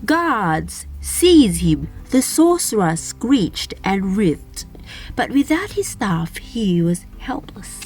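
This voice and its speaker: A woman narrating a children's fairy tale aloud as a storyteller, in an expressive, deliberate manner. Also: medium pitch, faint music behind. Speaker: storyteller and channel host.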